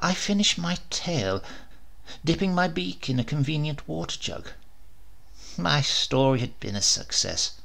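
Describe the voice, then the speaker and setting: A man narrates a comic storybook at an unhurried pace. His voice is bright and gravelly, and he moves through a lively range of pitch. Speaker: storybook reader.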